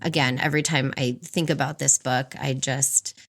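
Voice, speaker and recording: A woman in her forties co-hosting a book podcast, reviewing in an expressive, chatty way with frequent laughter. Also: very deep voice, moderate pace, gravelly. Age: 40s. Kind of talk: book review chat, partly prepared notes.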